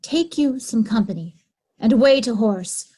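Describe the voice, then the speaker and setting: Scheming royal daughter, imperious and suspicious, high-pitched, very measured classical delivery female actor. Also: animated, mellow, husky. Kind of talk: Shakespearean reader's theatre acting.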